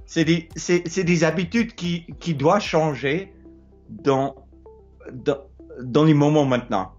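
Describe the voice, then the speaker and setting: Middle-aged man, Afrikaans accent, unhurried and slightly raised pitch, hesitant non-native phrasing; lo-fi phone recording. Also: a little mellow, faint music behind. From South Africa. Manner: hesitant, repeats words, searching for words. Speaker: explorer sharing advice. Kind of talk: casual advice monologue. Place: wood-ceilinged room indoors. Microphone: phone held close, low angle.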